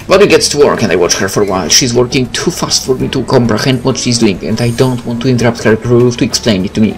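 A man's voice: medium pitch, animated, fast. A man doing a let's play, reading visual novel text aloud and adding casual comments. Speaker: gaming video creator. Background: game music.

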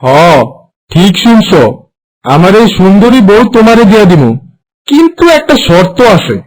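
Man playing a very high-pitched scheming husband. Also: sing-song, moderate pace, poor audio. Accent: Bangladeshi Bengali. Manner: confrontational, commanding. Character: scheming young village husband, stern and bossy. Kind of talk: cartoon moral story voice acting.

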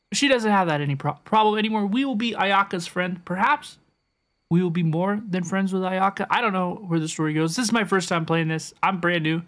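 A woman live-streaming a game with casual, playful, teasing reaction commentary, often repeating her phrases. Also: mid-range voice.

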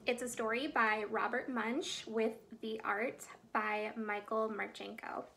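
A young adult woman reading a children's storybook aloud like a teacher, in an expressive, emphatic way. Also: on the high side, measured pace, vocal fry, slight background noise, poor audio. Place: small room indoors at home.

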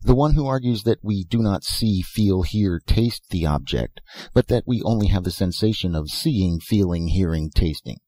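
A man's voice: deep voice, expressive, normal speed, dark tone, dry recording. A man voicing an eccentric, philosophizing old professor who talks in short, insistent phrases and rhetorical questions in a storybook reading. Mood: sly, philosophical. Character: elfin bearded old male professor, eccentric, philosophical inventor.

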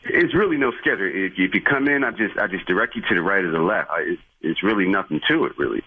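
Husky man on a tinny phone line. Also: low-pitched, animated, quick pace. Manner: repetitive, stammering, defensive. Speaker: church parking attendant on the phone. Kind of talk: spontaneous phone conversation.

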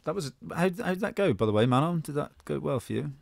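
A man's voice: a little high, animated, fast, creaky, darkish tone. A man, an actor, chatting casually and jokily with colleagues. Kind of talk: casual joking chat.

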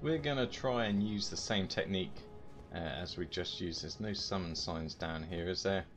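A man's voice: deep voice, normal speed. A British man giving casual gameplay commentary, talking to himself with mild exclamations. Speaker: gaming channel host. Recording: loud game music behind voice. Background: loud video game music.

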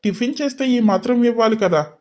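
A woman's voice: on the high side, quick pace, darkish tone, voice pushed down, close to an everyday voice. A woman voicing a cold, mocking rich young husband in a drama story, drawling with sarcasm. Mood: contemptuous.

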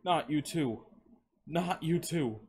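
A man voicing a weary, grieving protector in a story, speaking in a measured but uneasy way. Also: fairly high pitch, lively intonation, a little hiss or hum, voice pushed up.

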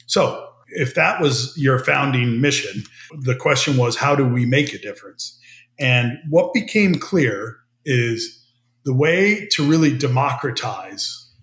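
Middle-aged american guy, measured explainer, a bit of room echo on the line. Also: medium pitch, a bit bright. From United States. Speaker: guest, company CEO. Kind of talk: business podcast interview. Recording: roomy remote audio.

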